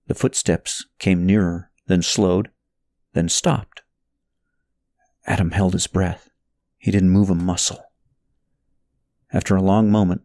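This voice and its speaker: A man reading a novel aloud as scripted narration, telling the story in an expressive, dramatic way. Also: fairly low pitch, no echo, studio quality.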